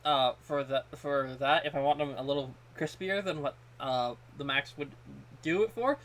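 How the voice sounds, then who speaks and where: A man chatting casually as a podcast co-host, haltingly, often repeating the start of his phrases. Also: a little high, a bit bright. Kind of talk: casual chat about food.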